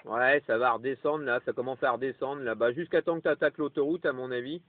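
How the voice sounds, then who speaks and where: A man's voice: mid-range voice, quick pace, very noisy. A man chatting casually as a radio amateur, rambling and tacking little tags onto his phrases, over a CB radio. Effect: CB radio transmission. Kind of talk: casual two-way radio chat. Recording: narrow, tinny two-way radio audio.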